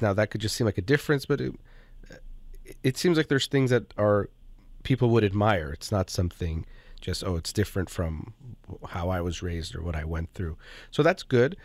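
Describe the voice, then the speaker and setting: Man, fairly low voice, call-in show host, calm, probing questions, dry close sound. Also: no echo. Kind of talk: counseling a caller.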